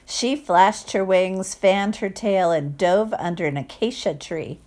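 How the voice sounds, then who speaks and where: A woman reading a picture book aloud to children, expressive, with chatty asides and questions to listeners. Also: medium pitch, unhurried. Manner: expressive, acts out sound words, asks listeners questions. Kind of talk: animated read-aloud storytelling with chatty asides.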